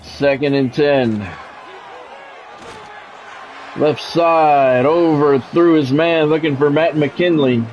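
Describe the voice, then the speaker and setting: A man with a voice a little higher than average delivers sports play-by-play for a video game football match. He talks conversationally, dropping dry, wry asides between calls. Faint music plays behind him. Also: fairly even intonation, slow, darkish tone, dull audio. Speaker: play-by-play announcer.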